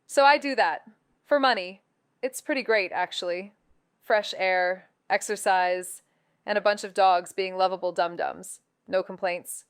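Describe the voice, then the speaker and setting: An actress playing a sarcastic, self-deprecating, sweary woman sleuth in a naturalistic audio drama, with casual deadpan delivery. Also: mid-range voice, unhurried, smooth, no room sound, studio quality, close to an everyday voice.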